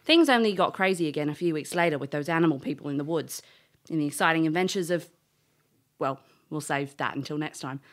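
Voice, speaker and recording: A woman's voice: sits low, expressive, fairly quick. An actress playing a brash, cheeky, hot-headed elf adventurer who tells her tale in an audio drama, blunt and cocky. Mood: narrating, wry. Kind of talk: audio drama acting and first-person storytelling.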